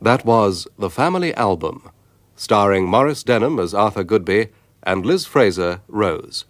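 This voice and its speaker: A man reading the closing credits of a radio drama in a measured, formal manner. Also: lowish voice, expressive, no echo. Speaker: announcer.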